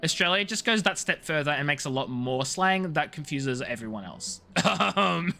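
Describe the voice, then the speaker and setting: A man chats casually as he plays a game on stream, in a fairly high, animated voice. He is slangy and playful, given to mock outrage, with some music around him. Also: normal speed. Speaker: live streamer playing a video game. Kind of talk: casual chatty game commentary.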